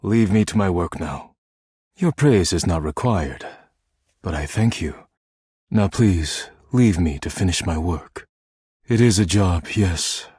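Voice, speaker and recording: An actor voicing a stern, proud, duty-bound living dishware caretaker in a video game, with a formal, clipped, solemn delivery and a husky voice. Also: male voice, low-pitched, expressive, slow, darkish tone, no echo, clean studio sound, exaggerated voice. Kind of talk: video game character voice lines.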